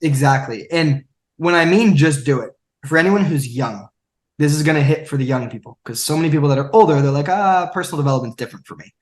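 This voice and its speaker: A man's voice: fairly high pitch, brisk. A young man in his twenties, a podcast guest speaking emphatically in a coaching, motivational way. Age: 20s. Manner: emphatic and coaching, acts out quotes. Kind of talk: motivational conversation.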